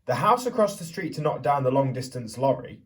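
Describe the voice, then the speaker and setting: A man reading a picture book aloud to young children as a teacher would, theatrically, pausing to put questions to them. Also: mid-range voice, big pitch swings, recorded from a distance. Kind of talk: expressive children's storybook reading with questions to listeners.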